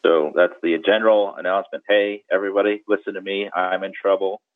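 A man's voice: low voice, steady tone, fairly quick, dry recording, narrow, tinny audio. A man giving conversational, step-by-step instruction as an expert guest, often checking in with the listener. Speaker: guest boating radio expert.

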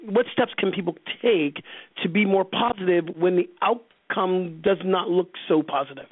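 A man hosting a conversational radio interview, feeling out questions haltingly and restating them several times. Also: high-pitched, dry recording. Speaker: radio show host, interviewer. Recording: thin phone-like radio audio.

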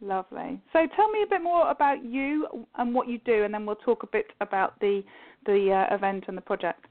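Chatty woman hosting over a tinny line. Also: medium pitch. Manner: chatty, warm laughs, quick asides. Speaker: podcast host. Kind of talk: casual interview hosting. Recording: phone-like call audio.

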